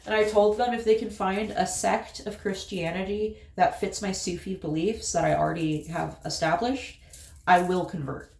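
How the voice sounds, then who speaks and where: A woman with an Appalachian accent chats casually while doing her makeup, wandering through a story with fillers and little laughs. Her voice sits at an ordinary middle pitch. The room around her is noticeably echoey. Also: steady tone, normal speed, creaky, darkish tone. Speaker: vlogger.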